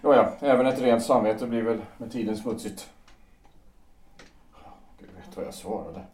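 A man's voice: on the low side, darkish tone, natural voice. A man playing a wry, world-weary, slightly tipsy man home from abroad in a period audio drama, rambling with irony. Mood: tipsy, disillusioned. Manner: rambling, ironic, hesitant. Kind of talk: audio drama acting of a period stage play. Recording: roomy, distant microphone.

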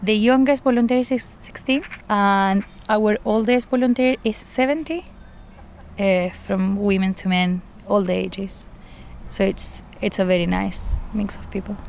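A woman's voice: medium pitch, fairly even intonation, unhurried, darkish tone. Argentine woman garden coordinator, earnest, light laughs, faint outdoor noise. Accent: Argentine Spanish. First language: Spanish. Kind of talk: interview, personal account.

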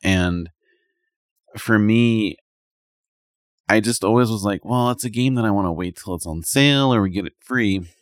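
Male podcast co-host, deep voice, dry recording, conversational and informal gamer chat. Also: normal speed, long pauses, no room sound. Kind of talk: casual gaming chat.

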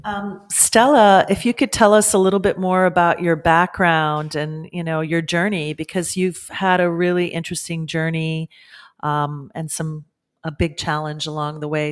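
Woman, interview host, low voice, encouraging, gently draws out her guest. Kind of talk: casual interview talk.

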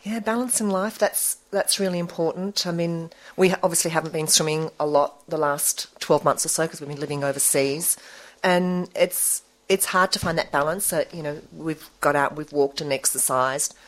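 A woman in her forties, a guest entrepreneur speaking earnestly and reflectively with halting restarts. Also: low voice, low-quality recording. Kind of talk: interview conversation.

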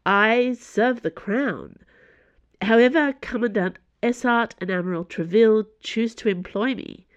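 Woman playing a halting, earnest young female pilot. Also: fairly high pitch, dry recording, muffled recording, pitched above the performer's own voice, close to an everyday voice. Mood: composed. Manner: halting, earnest, formally polite. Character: young female pilot, loyal, nervous but defiant. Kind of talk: audiobook character voice.

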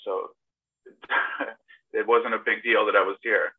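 Over a thin, phone-like internet call, a middle-aged man speaks in a slightly low voice. He is a podcast guest telling casual anecdotes about himself. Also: level tone, takes it slowly, slightly rough. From born in Serbia, grew up partly in Toronto, Canada. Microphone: video call.

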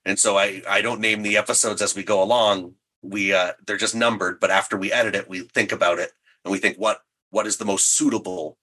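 A man's voice: on the low side, steady tone, a little fast, pushed and bright, husky. A man who writes audio drama, chatting in an interview, explaining how he works in a self-deprecating way. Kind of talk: interview chat.

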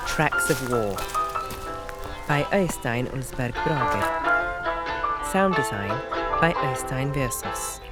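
A male announcer with a medium-pitched voice delivers scripted documentary narration for an audio drama. Music plays clearly behind him throughout. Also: expressive, takes it slowly, long pauses.

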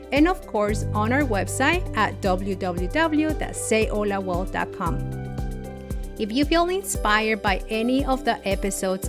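A woman hosting a podcast interview, upbeat and encouraging as she speaks to her guest. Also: on the high side. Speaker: podcast host.

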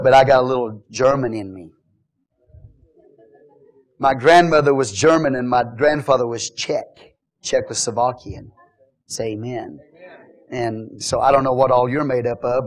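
A middle-aged man preaching a sermon as pastor, telling jokes and swinging from quiet asides to loud exhortation. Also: mid-range voice.

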